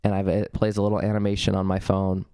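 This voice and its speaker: A young man hosting his own podcast, talking casually and a bit gravelly from a cold, with lots of ums and restarts as he rambles. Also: low-pitched, completely flat delivery, fast, dark tone, no echo, clean studio sound. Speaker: podcast host. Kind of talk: casual solo podcast talk. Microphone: studio vocal mic.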